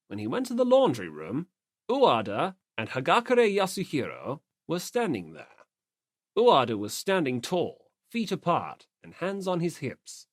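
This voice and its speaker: Expressive woman narrator, dry sound. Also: low-pitched, no room sound. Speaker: audiobook narrator. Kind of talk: scripted story narration.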